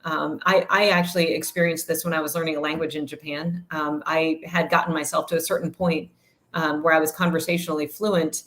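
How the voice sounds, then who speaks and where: A woman with a notably low voice talks as an interview guest. She explains at length in an articulate, measured way and fills her pauses with frequent ums and uhs. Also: flat delivery, a bit bright. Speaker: guest, researcher and parent. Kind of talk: casual interview chat.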